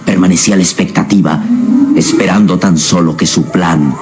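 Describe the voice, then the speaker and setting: A man with a low voice narrates a radio serial. His delivery is theatrical and suspenseful, with deliberate phrasing, and dramatic music plays clearly behind him. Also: fairly even intonation, darkish tone. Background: clear background music.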